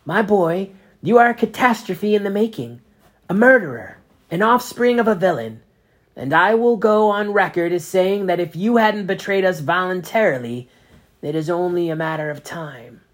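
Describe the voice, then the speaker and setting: A parent reading aloud voices a cold, sneering male grand inquisitor with a raised, forceful delivery. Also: medium pitch, lively intonation, normal speed, pitched above the performer's own voice, close to an everyday voice. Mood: scornful. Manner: clipped, sarcastic, accusing.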